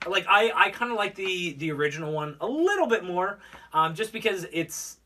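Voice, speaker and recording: A man co-hosting a casual chat, speaking haltingly and leaning heavily on filler words like "like". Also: high voice, lively intonation, sharp tone. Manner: halting, heavy use of "like". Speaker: co-host. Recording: roomy distant mic, faint noise.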